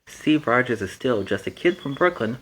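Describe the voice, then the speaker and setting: A man's voice: mid-range voice, animated, normal speed, a bit bright, a little hiss or hum, rough recording. A man in a superhero persona reading a children's storybook aloud, with chatty, playful asides between the passages.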